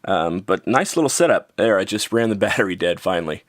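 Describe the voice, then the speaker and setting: Low-pitched North American man, casual reviewer. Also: animated, brisk, no room sound, studio quality. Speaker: product reviewer. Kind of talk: casual gadget review narration.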